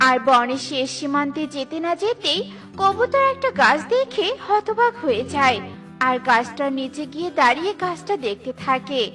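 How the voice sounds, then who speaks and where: A woman's voice: high-pitched, big pitch swings, normal speed, a bit bright, faint music behind. A woman reading scripted narration as the storyteller of a cartoon.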